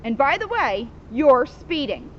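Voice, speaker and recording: Woman as frank little schoolboy, storybook, emphatic, matter-of-fact, lively high voice, slightly muffled outdoor recording. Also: measured pace, a little hiss or hum. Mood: blunt, emphatic. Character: blunt, honest young schoolboy.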